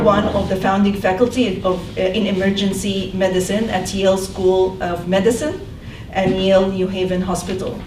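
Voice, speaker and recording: A woman hosting a public event and introducing speakers, hesitant with many fillers. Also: mid-range voice. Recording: echoey room, mic at a distance, noisy.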